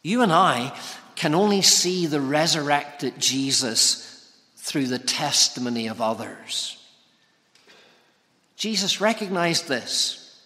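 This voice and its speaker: A man preaching a sermon in deliberate, measured phrases broken by pauses. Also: a little high, animated, sharp tone. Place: large church interior, preaching to congregation. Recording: long reverberant church hall echo.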